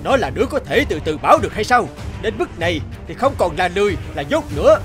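A man playing a stern, hot-tempered middle-aged father in an animated drama, scolding and exasperated. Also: high voice, quick pace, soft background music. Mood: furious.

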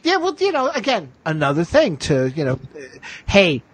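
Animated, slightly husky male podcast host. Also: high-pitched. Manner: emphatic, halting with repeated phrases. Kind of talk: casual, opinionated fan discussion.

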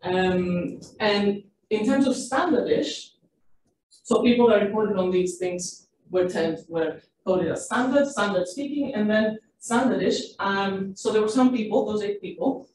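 A woman's voice: medium pitch, a little slow, darkish tone. A woman researcher presenting her study at an academic seminar, explaining at length with fillers and self-corrections. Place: seminar room. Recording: echoey room, distant mic, faint hiss.

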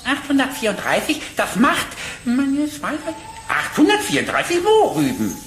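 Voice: high voice, huge pitch swings, normal speed, fairly bright tone, faint music behind, full character voice. An actor playing a cheeky, bold male rabbit who wisecracks and haggles in a children's cartoon audio play. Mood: indignant, bold. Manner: brash, wisecracking. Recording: roomy, faint background noise.

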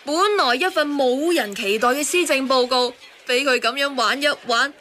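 Shrewd, high bright-voiced neighbour. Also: female voice, moderate pace, a little hiss or hum. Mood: wry. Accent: Hong Kong Cantonese. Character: shrewd, matter-of-fact woman neighbour. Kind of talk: satirical radio drama dialogue.

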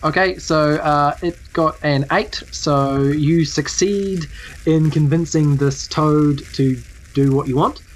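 A woman's voice: deep voice, slow, a little hiss or hum, low-quality recording. A woman with a New Zealand accent improvising casual narration as game master, often searching for her words.

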